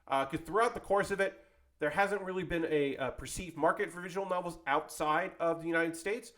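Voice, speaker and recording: Slightly high-voiced man, casual rambling anime host. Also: fairly quick, fairly bright tone. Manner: self-deprecating, conversational, tangent-prone, mildly sweary. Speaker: host of an anime video series. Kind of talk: casual rambling vlog commentary.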